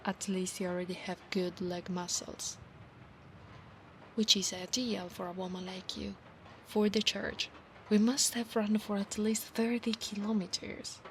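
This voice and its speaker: An Italian woman with an Italian accent voicing a possessive, affectionate, teasing centaur knight in a soft, intimate ASMR roleplay, often dropping into whispers. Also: medium pitch, level tone, unhurried, close to an everyday voice, poor audio. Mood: weary, teasing. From Italy. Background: noise and ambient sound effects.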